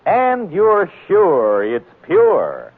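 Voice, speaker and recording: High, slow male radio pitchman. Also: wildly swooping pitch. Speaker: commercial announcer. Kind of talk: 1940s radio beer advert. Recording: tinny narrow-band old radio recording.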